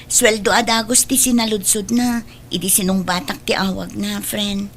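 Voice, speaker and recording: A woman's voice: mid-range voice, little pitch movement, moderate pace, slight background noise, close to an everyday voice. A woman with an Ilocano accent acting the part of an earnest, apologetic woman in an audio drama. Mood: homesick, sad.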